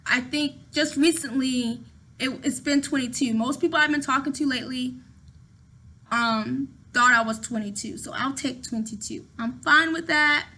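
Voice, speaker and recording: A woman in her thirties with a high, bright voice that carries a sharp edge. She chats to her viewers from her apartment in a loose, rambling way, circling back and repeating phrases as she goes. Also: normal speed. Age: 30s. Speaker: vlogger. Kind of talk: casual talk to camera.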